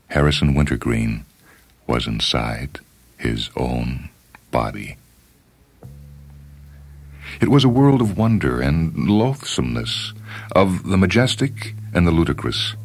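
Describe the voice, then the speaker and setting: A man reading a short story as dramatic scripted narration, with deliberate, emphatic phrasing and pauses for effect. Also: rumbling low. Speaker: story reader on a radio programme.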